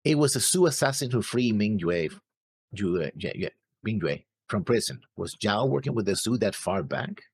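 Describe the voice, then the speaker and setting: A man co-hosting a reaction show, deliberately laying out his theories from prepared notes. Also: fairly low pitch, very animated, husky, dry recording. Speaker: co-host of an anime reaction show.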